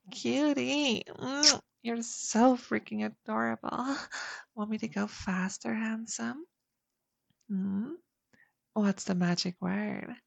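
A woman speaking in a soft, coaxing whisper for an intimate audio roleplay, close to the mic. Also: fairly high pitch, fairly even intonation, slow, gravelly, no echo.

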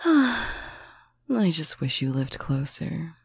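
A speaker in a slow, intimate roleplay, talking softly to a faraway partner on a bedtime call, often dropping into a whisper. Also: mid-range voice, very animated, creaky, breathy edge, no echo, studio quality. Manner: soft, affectionate, often whispered. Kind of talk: intimate roleplay monologue to the listener.

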